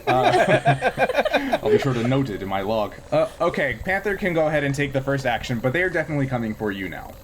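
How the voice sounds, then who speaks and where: A man's voice: medium pitch, huge pitch swings, a little fast, slight background noise. A man acting as game master, talking casually through a tabletop role-playing game in a jokey, easygoing way.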